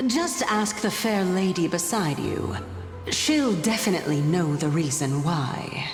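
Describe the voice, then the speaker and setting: An unhurried, lowish-voiced female sage from a fantasy game. She is playful, enigmatic and poised, teasing without ever losing composure. Her voice rings in a reverberant hall with music behind it. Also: lively intonation. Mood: teasing, amused. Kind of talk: video game voice acting.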